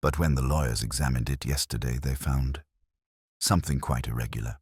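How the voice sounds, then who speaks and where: A man as deep-voiced, anxious Victorian secretary. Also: fairly even intonation, a little fast, a little mellow, breathy edge, dry recording, studio quality. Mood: uneasy. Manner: formal, careful, precise. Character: anxious Victorian male private secretary, polite and precise.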